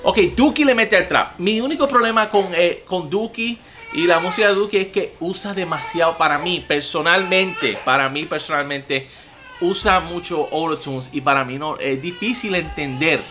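A man's voice: fairly high pitch, normal speed, a little hiss or hum. A young man with a Puerto Rican accent hosting a casual music reaction vlog from his room at home, talking with big gestures and a lot of swearing. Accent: Puerto Rican Spanish. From Puerto Rico. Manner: animated, profane, gestures a lot while talking. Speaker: music reaction video host. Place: home room with TVs and gaming gear, indoors.

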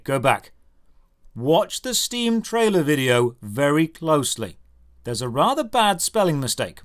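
A man hosting a scripted game review in a sarcastic way, with theatrical emphasis on key words. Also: a little high. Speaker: video series host.

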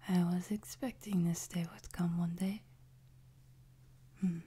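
A woman playing a soothing, devoted French maid in a whispered ASMR sleep-aid roleplay, with a French accent and a soft, breathy delivery. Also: on the low side, fairly even intonation, takes it slowly, full character voice. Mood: gentle, attentive. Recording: noticeable background noise, ambience.

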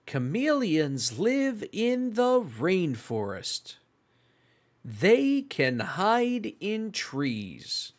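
A read-along host reading aloud for young children in a deliberate, exclamatory and animated way. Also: medium pitch.

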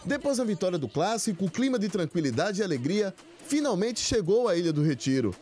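Lively high-voiced male sports reporter. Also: a little fast, soft background music. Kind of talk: scripted news narration.

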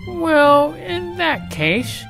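A man voicing a prim, dull, gullible male principal in a storybook, fussy and drawn-out. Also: extremely high pitch, sing-song, creaky, music in the background, pitched above the performer's own voice, full character voice. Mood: fussy, surprised.

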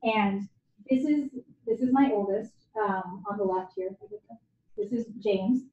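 A woman's voice: mid-range voice, unhurried, dark tone. Guest lecturer, forties woman, Japanese native, self-deprecating and chatty; echoey hall, dull lo-fi audio. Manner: candid, conversational, self-deprecating. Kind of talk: university lecture talk.

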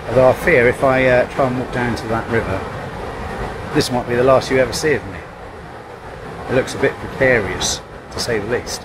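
An older man chatting casually to a handheld camera while hiking outdoors, rambling and self-mocking. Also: mid-range voice. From England. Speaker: hiking vlogger. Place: outdoors by a rushing river in woodland. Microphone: camera held at arm's length. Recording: loud rushing river noise behind. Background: roaring river water.

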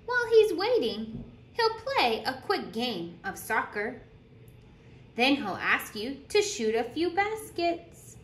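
Woman, higher-pitched voice, children's story read-aloud, deliberate teacherly delivery, roomy echo. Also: sing-song. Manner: deliberate storytelling with questions to young listeners. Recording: roomy echo with clear background noise.

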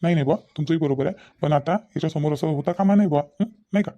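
A man's voice: on the high side, rapid-fire, mellow, close to an everyday voice. A man playing a concerned middle-aged village father in a comedy cartoon, reasoning earnestly with questioning tags, in a rural Vidarbha Maharashtrian accent. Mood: pleading, reasoning. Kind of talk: Marathi comedy cartoon dialogue.

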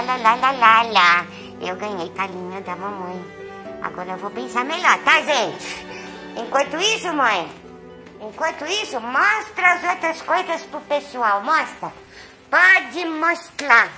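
Woman voicing Dominó, a coy high-pitched tomcat. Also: animated, measured pace, sharp tone, faint music behind, full character voice. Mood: affectionate. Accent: Brazilian. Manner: sweet, coy, chatty to viewers. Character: chatty, nervous, affectionate young male house cat. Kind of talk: pet vlog cat voice-over.

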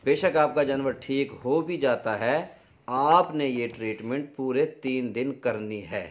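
A man's voice: mid-range voice, a little slow. Pakistani man giving practical advice, dull sound. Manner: practical, instructive. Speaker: dairy farming advice presenter. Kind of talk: informal instructional explanation to camera.

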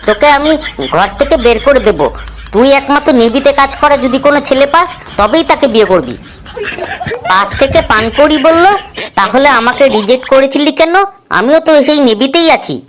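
A woman's voice: sits high, a little hiss or hum. A woman voicing a glib schoolboy who earnestly spins silly tales in a comedy cartoon.

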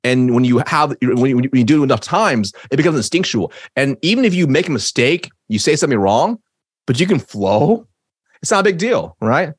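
A man's voice: mid-range voice, fairly quick, breathy edge, no echo. A man in his thirties who stutters at times, teaching sales with energy and emphasis on a video call from a home office, into a studio mic. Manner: energetic, emphatic, gestures while talking. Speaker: sales trainer. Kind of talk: webinar teaching. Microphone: studio mic on boom arm, video call.

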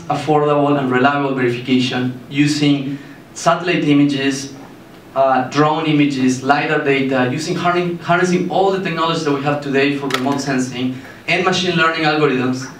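A man from Argentina in his thirties, a startup founder giving a presentation with a handheld mic, speaking haltingly with many fillers and restarts. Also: mid-range voice, a bit bright. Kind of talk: presentation to an audience. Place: meeting room with a projector screen, indoors. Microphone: handheld mic, filmed by a far camera. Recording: echoey room, mic far off, faint hiss.

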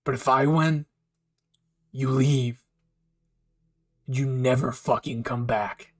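A man's voice: mid-range voice, steady tone, takes it slowly, voice pushed down. A man voicing a hot-tempered, loyal young hero in an anime roleplay, intense and pleading. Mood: grim resolve. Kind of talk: anime fan ASMR roleplay acting.

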